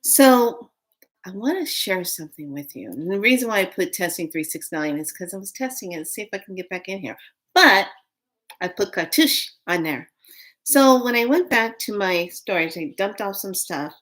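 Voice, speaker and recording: A woman's voice: mid-range voice, moderate pace. Fifty-something lady rambling to her stream viewers, chatty and easygoing. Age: 50s. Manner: chatty, rambling, animated storytelling. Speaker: vlogger. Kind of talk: casual live stream chat.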